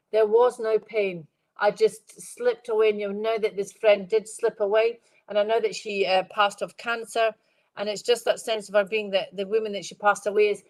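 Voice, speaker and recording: Congested woman giving a gentle psychic reading. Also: mid-range voice, rough recording. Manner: gentle, rambling, repeats phrases, addresses viewers by name. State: congested, stuffed-up nose. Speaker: medium giving live readings. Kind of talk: live-stream spirit reading.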